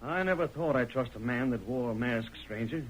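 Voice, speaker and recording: A man's voice: mid-range voice, a little fast, a little hiss or hum, natural voice, low-quality recording. An actor playing a wronged, earnest frontier family man on the run, folksy, in old-time radio western style.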